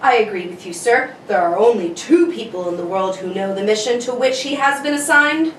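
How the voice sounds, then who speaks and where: A woman's voice: medium pitch, a little fast, natural voice. A woman playing a dutiful army major, aide to a general, in an old-time radio drama. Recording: roomy distant mic, clear background noise.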